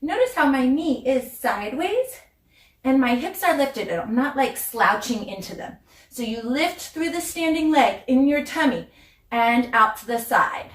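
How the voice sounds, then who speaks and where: A woman's voice: high-pitched, lively intonation, unhurried. A woman giving ballet class instruction as a dance teacher, demonstrating and counting in an encouraging, instructive way. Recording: roomy echo, distant mic, music behind.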